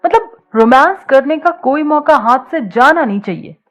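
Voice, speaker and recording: A woman's voice: sits high, expressive, moderate pace. A woman voicing a young college student in an audio story, wry and casual in how she talks. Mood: teasing. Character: shy but teasing young woman in college.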